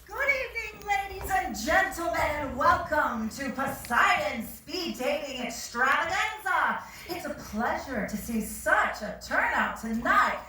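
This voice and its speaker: A woman's voice: sits high, very animated, a little slow, hardly any pauses, sharp tone, slight background noise, full character voice. A woman on stage playing a grand, mock-gracious and commanding speed-dating hostess, heard from far off in a very echoey theatre. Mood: grandly welcoming. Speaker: actor in a staged reading. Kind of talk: theatrical announcement to a room. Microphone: far audience camera. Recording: very echoey theatre, distant mic.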